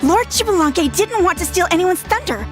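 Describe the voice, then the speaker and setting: A woman voicing a bubbly, admiring young bystander in a video game, earnest and excitable, with music behind. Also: extremely high pitch, moderate pace. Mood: eager.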